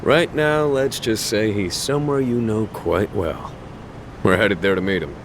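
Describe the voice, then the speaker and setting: A man's voice: fairly low pitch, a little slow, background noise. A man playing a sly, confident fixer loyal to his boss in an English video game dub, speaking in a casual, coy way.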